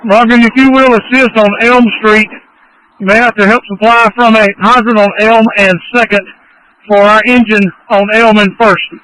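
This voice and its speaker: High-pitched man on emergency radio. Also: steady tone, moderate pace, sharp tone. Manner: terse, directive. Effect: two-way radio. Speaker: fire incident commander. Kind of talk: emergency radio dispatch traffic. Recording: crackly fire department radio transmission.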